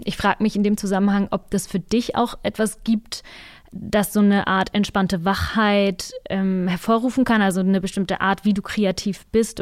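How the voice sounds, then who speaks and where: A woman's voice: medium pitch, dry recording, clean studio sound. A woman interviewing a guest on a podcast, phrasing her questions carefully and tentatively in a conversational style.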